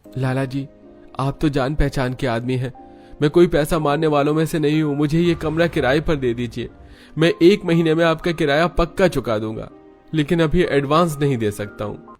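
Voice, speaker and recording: A man playing a bitter, wronged husband in an animated family melodrama. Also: a little high, moderate pace, faint music behind, lightly acted. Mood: pleading. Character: bitter, wronged young husband and struggling farmer. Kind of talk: animated Hindi family story acting.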